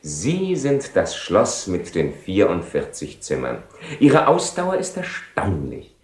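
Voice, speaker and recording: A low, sweeping male voice in a roomy studio, a proud seducer from a 1970 radio drama. He is imperious and formal, speaking with theatrical range and little patience. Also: huge pitch swings, slow pace, a little mellow, exaggerated voice. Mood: guarded, ironic. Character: Don Juan, proud impatient seducer, bankrupt nobleman. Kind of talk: 1970 German radio play acting.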